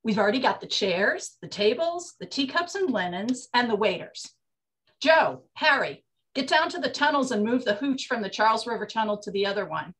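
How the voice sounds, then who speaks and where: An actress playing a brash, slangy speakeasy proprietress of the 1920s in a comic period drama. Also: female voice, on the high side, animated, moderate pace, a bit bright, rough recording. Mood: bossy, brisk. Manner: bossy, slangy. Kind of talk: period comedy radio-play acting.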